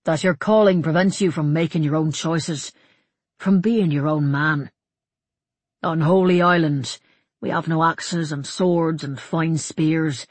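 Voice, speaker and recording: Storybook monk, male voiced by a narrator: soft-spoken, grave and reassuring, quietly sure of himself, dry close recording. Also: medium pitch, fairly even intonation, measured pace, slightly rough, dry recording. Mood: quietly earnest. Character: gentle, probing monk, grave and quietly certain man. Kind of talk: audiobook character dialogue.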